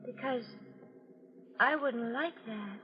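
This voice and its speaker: A woman's voice: a little high, slow, music in the background. A woman acting a beautiful, insane young murderess, childlike and volatile, in an old radio thriller. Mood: tender, unsettled. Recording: dull, noisy old radio recording.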